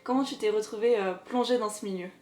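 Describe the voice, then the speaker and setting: A woman's voice: medium pitch, fast talker, a little mellow, echoey room. A woman interviewing a guest for a podcast, asking prepared questions.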